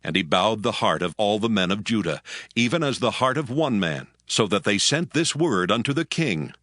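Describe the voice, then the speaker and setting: Grave expressive male scripture narrator. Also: fairly low pitch, normal speed, no room sound. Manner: steady, grave storytelling. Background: music.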